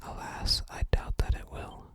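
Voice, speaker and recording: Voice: measured pace, lightly acted. A gamer whispering as a solemn reclusive sage. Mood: solemn, resigned. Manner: solemn, archaic formal phrasing. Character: reclusive, gloomy sage guarding a shrine.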